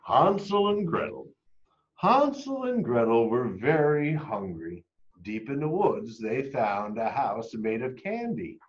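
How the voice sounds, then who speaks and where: An American man in his forties reading a children's storybook aloud with animated expression, recorded on a camera close by. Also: medium pitch, takes it slowly, dull audio. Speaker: storybook reader for a school.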